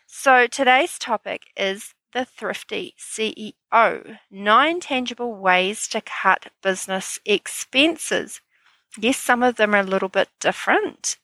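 A woman's voice: mid-range voice, takes it slowly, sharp tone, dry recording, rough recording. A woman hosting a solo business podcast, sounding a bit stuffy from hay fever, in an upbeat, chatty, explaining manner. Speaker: podcast host.

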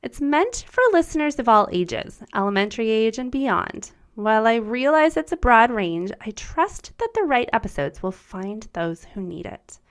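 A woman's voice: on the high side, big pitch swings, normal speed, hardly any pauses. Upbeat woman hosting an inspirational podcast. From United States. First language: German. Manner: upbeat, encouraging, earnest delivery. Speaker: podcast host. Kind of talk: scripted solo podcast monologue.

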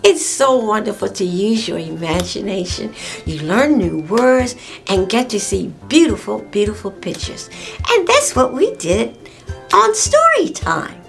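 A woman hosting story time, reading aloud to young children with exclamatory, drawn-out phrasing and repeated words for emphasis. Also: mid-range voice, very animated, gravelly, soft background music.

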